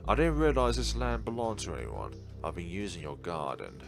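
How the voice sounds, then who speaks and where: A man voicing a calm, apologetic, mysterious male necromancer in a visual novel, speaking formally and in a measured way. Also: low-pitched, creaky. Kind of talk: read-aloud visual novel dialogue. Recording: background music under voice.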